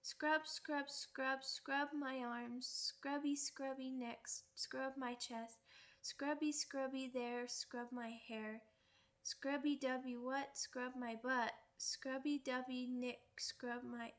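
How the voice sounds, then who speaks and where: A woman's voice: high-pitched, little pitch movement, slow pace, fairly bright tone. A woman playing a young werewolf pup in an ASMR roleplay, childlike, cheeky and earnest, with pouty, rambling speech. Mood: playful singsong. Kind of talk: ASMR roleplay audio drama.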